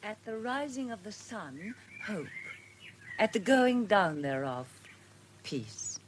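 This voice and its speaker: An actress playing a genteel, wistful British lady in a radio drama, expressive and relishing her words. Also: medium pitch, slow pace, noisy background. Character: genteel, wistful, affectionate English lady visitor. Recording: outdoor birdsong ambience behind. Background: birdsong and outdoor ambience.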